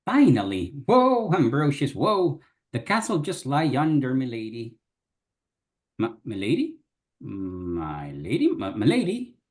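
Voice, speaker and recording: A gallant little fox knight speaks in a courtly, old-fashioned way, as a man acts him out. His pitch leaps high and drops low within a phrase, and he takes his time. It is a fan reading of a fantasy radio play. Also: huge pitch swings, measured pace, darkish tone. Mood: brisk. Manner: archaic, chivalrous speech with big pitch leaps. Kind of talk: live dramatic reading.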